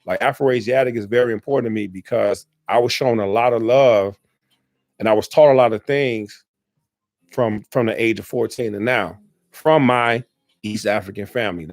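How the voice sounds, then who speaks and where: A man's voice: fairly low pitch, no room sound. Earnest rambling man, dry sound. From South Side Chicago, USA. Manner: rambling, self-correcting, earnest. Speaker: speaker answering questions. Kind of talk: casual spoken Q&A.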